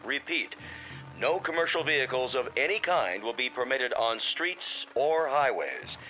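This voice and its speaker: A man's voice: medium pitch, expressive, a little slow, faint music behind. An American actor playing a formal, grave male announcer reading an emergency bulletin through a radio filter in a drama. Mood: grave, official. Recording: dull, noisy old radio broadcast.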